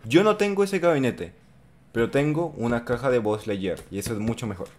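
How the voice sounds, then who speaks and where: A man's voice: medium pitch, brisk. A young man with a Colombian accent, chatting and joking as he reacts to a video for his viewers, close to a studio mic in his bedroom. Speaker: reaction streamer. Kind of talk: casual reaction commentary. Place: bedroom streaming setup. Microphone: studio mic on arm in front.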